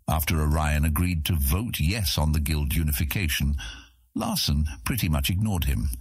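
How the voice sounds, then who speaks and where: A man's voice: low voice, breathy edge, dry recording, studio quality. A man narrating a fantasy audiobook in a scripted, dramatic storytelling manner.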